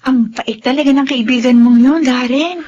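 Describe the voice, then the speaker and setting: Very high, fussing caring woman. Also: normal speed, a little mellow, breathy edge, lo-fi sound. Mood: admiring. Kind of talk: Tagalog radio drama acting.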